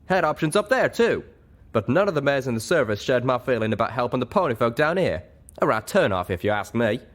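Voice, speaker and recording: A man's voice: medium pitch, very animated, brisk, voice pushed up, natural voice. A man voicing a young, plain-spoken cowboy pony with a folksy drawl for a storybook reading. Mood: defensive. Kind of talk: audiobook character voice.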